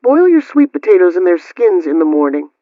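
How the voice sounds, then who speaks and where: A man's voice: sits high, sing-song, fairly quick, no echo. A man presenting a radio program, reading scripted household advice. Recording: dry, dull lo-fi radio sound.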